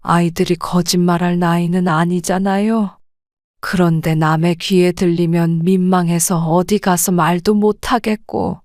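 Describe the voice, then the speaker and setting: A woman acting an older widowed grandmother who speaks softly, weary and restrained. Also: fairly low pitch, level tone, measured pace, no room sound, studio quality, lightly acted. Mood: troubled. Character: widowed grandmother, gentle and troubled. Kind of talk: audio drama acting.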